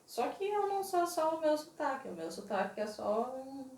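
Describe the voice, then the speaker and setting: A woman with a Northeastern Brazilian accent talks through her answers in an interview, thoughtful and measured, and she leans hard on key points. Her voice sits at a middle pitch. It is recorded in a roomy space, a little away from the mic. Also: sing-song, darkish tone, far from the mic. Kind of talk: interview conversation. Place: Portugal.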